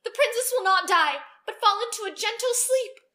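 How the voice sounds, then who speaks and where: A woman's voice: very high-pitched, lively intonation, normal speed, sharp tone, voice pushed up, full character voice. A woman voicing a kind young good fairy in a storybook, crying out earnestly and protectively. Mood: urgent, protective.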